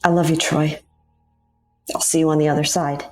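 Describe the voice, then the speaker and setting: Woman acting bold space adventurer, lowish voice, wry, teasing, sci-fi audio drama, echoey room, faint music. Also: flat delivery, moderate pace, darkish tone, close to an everyday voice. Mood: fond, teasing. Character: bold, teasing female mystery-show presenter turned space adventurer. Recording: roomy, echoey sound.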